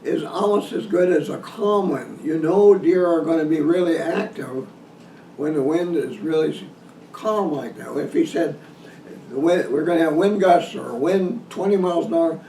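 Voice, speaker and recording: A man giving an informal instructional hunting talk in a halting, folksy way, repeating and restarting his phrases. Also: on the high side, vocal fry, mellow. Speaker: deer hunting instructor hosting a video seminar. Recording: roomy echo, distant mic, some noise.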